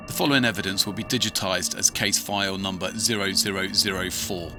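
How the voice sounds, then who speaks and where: Guy playing a grave investigator narrating a case log, lowish voice, music under it, formal and measured. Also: fairly even intonation. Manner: formal, measured, matter-of-fact. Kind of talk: scripted documentary narration.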